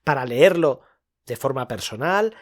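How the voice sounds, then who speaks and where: A man's voice: mid-range voice, animated, unhurried. A man with an accent from Spain, presenting a podcast in a conversational style as its host. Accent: Spain Spanish.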